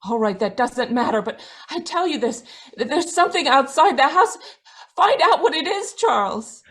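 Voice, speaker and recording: A woman playing a frightened, bitter middle-aged wife in an old-time radio drama, intense and pleading, with a husky voice. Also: high-pitched, very animated, moderate pace, a bit bright. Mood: frightened, urgent. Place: indoors, live video call performance.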